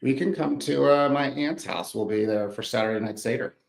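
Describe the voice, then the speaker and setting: A man's voice: medium pitch, brisk, gravelly. A man co-hosting a podcast, bantering in friendly, teasing casual chat. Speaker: podcast co-host. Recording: roomy echo.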